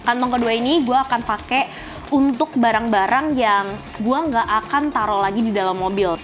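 A woman in her twenties with a Jakarta accent, presenting a casual how-to video to the camera in a chatty, slangy way with frequent "eh" fillers. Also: on the high side. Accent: Jakarta Indonesian. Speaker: car channel presenter. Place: outdoors by a car in a residential driveway. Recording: music playing behind the voice.

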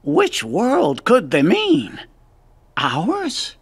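A man's voice: high-pitched, wildly swooping pitch, extremely slow, slightly rough, full character voice. A man voicing a small, fussy, fretful cricket chronicler in a video game cartoon style. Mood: pondering.

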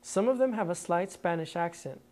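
Low-voiced woman narrating a documentary. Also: a little hiss or hum. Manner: even, measured delivery. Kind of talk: scripted narration.

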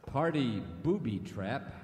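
A man voicing a laconic Western drifter who speaks in short, deadpan phrases, in a radio comedy. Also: medium pitch, takes it slowly, reverberant, big-room sound, a little hiss or hum, pitched below the performer's own voice. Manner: terse, flat, deadpan. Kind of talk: 1990s radio comedy acting.

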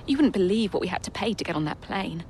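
A woman's voice: mid-range voice, animated, fast, darkish tone, a little hiss or hum, close to an everyday voice. An actress playing a jaded, self-absorbed female celebrity stranded in a zombie apocalypse, cynical and sardonic, in a video game. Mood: gloomy, cynical. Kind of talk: video game cutscene acting.

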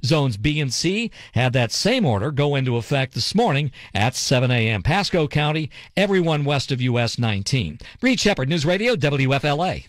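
An American man reading a radio news bulletin in a measured, formal manner as a reporter. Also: medium pitch, very animated, no room sound.

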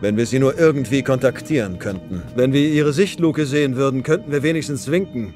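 An actor playing a blunt, pragmatic military clone soldier in an audio drama. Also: male voice, mid-range voice, a little fast, music in the background, lightly acted. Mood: terse, grim.

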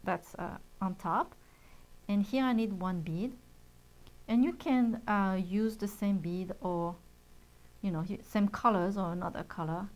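A middle-aged woman with a voice of ordinary pitch, talking softly and patiently. She explains each beading step casually, with small pauses and fillers. Recorded cleanly on a lapel mic in a bright studio. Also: poor audio. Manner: soft-spoken, gently explains steps with fillers. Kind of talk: casual show-and-tell and beading tutorial. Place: bright studio set at a table.

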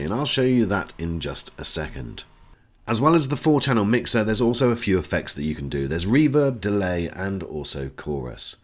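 A man's voice: low-pitched, husky. A man giving a conversational, explanatory product review as a voice-over. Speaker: tech reviewer.

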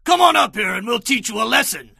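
An actor playing a jeering, aggressive enemy soldier in an audio Bible drama. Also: male voice, very high voice, sing-song, quick pace, gravelly, sharp tone, husky, dry recording, studio quality, full character voice. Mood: taunting.

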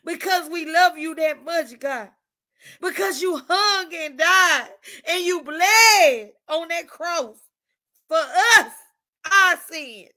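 A woman's voice: very high voice, expressive, slow pace, sharp tone. A mother leading an impassioned prayer, crying as she calls out to God after each phrase. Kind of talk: fervent spoken prayer.

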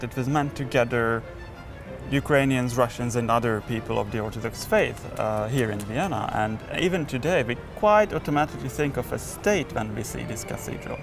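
A man's voice: mid-range voice, gravelly. A young man from Hungary, a doctoral student, explaining thoughtfully on camera. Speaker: PhD student.

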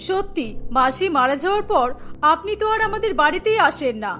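A woman acts out a middle-aged cartoon mother-in-law, very high in pitch. She is bossy, fussy and sharp-tongued. Faint music sits underneath. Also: lively intonation, a little fast, heavily acted. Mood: hospitable. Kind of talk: Bengali cartoon dialogue.

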